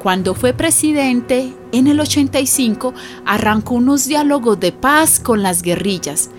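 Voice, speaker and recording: A woman playing a neighbour who narrates a radio drama in an unhurried, confiding storytelling manner. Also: fairly high pitch, lively intonation, faint music behind.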